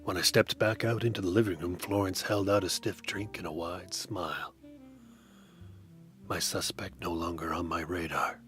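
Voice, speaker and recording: Deep-voiced deadpan noir narrator, man. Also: normal speed, soft background music. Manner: dry, deadpan, wry. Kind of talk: hardboiled detective noir narration.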